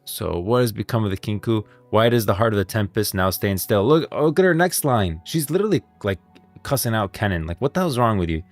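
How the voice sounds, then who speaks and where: A man's voice: on the low side, animated, soft background music. A man doing casual live-stream commentary on game lore, rambling and thinking aloud as he goes. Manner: rambling, thinking aloud, pauses to read out lines.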